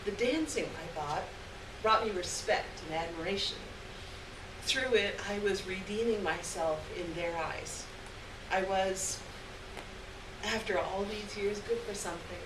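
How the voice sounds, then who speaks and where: A woman giving an expressive live reading of a short story on stage. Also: mid-range voice, measured pace. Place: indoor stage reading before a live audience. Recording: echoey, noisy live room, distant mic.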